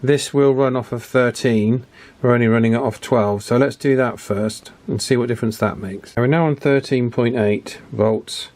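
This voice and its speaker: Male repair vlogger, mid-range voice. Casual running commentary, halting and thinking aloud while working. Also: mid-range voice, darkish tone. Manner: thinking aloud, hesitant pauses mid-phrase.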